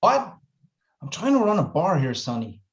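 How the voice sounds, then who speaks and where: A man's voice: fairly high pitch, very animated, moderate pace, vocal fry. A man playing a harried, cowardly bar boss in a scripted drama table read, on dull audio. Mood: exasperated.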